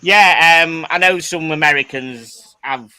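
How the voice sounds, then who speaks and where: A man's voice: fairly high pitch, a little slow, pushed and bright, clear, resonant tone. A man with a Manchester accent hosting a casual livestream chat, teasing his guests and laughing often. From England. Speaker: host.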